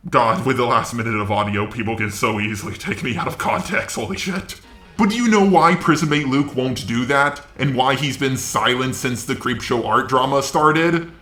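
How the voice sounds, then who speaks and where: A man's voice: mid-range voice, normal speed, a bit bright, reverberant. A man delivering blunt, sweary, opinionated commentary as a video host, often asking rhetorical questions. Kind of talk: opinionated drama commentary, talking to camera audience.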